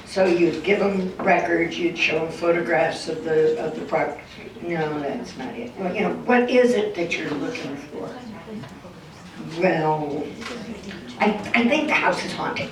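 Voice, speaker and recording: A woman's voice: low-pitched, measured pace, a crowd in the background. An older woman giving an informal talk as a local historian, telling stories in a folksy, wry way with emphatic repetition. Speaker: guest speaker, local historian and storyteller. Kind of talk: informal storytelling talk to an audience. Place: echoey room in a historic cabin. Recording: roomy, distant mic with background noise.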